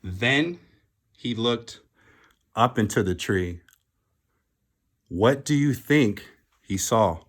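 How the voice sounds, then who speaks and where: A man reading a children's picture book aloud in an expressive, deliberate way with dramatic pauses. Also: medium pitch. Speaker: storybook reader.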